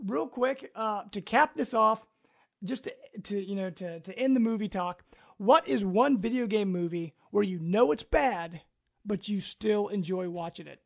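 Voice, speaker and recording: A man with a high-pitched voice and very heavy creak hosts a casual podcast. He talks in an enthusiastic, informal, rambling way. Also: a little slow. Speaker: podcast host.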